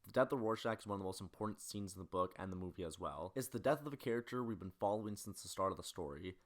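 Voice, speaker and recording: A man with a deep voice narrates a scripted video essay on film. He moves quickly through his analysis.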